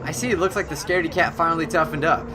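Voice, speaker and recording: A man's voice: on the high side, fairly even intonation, very fast, background noise, pitched above the performer's own voice, lightly acted. A man voicing a breezy, teasing young friend chatting in a visual novel game.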